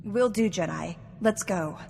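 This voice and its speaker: An actress playing an earnest, dutiful young companion in a video game. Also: female voice, medium pitch, measured pace, gravelly, darkish tone. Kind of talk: video game cutscene acting. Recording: long reverb, faint noise.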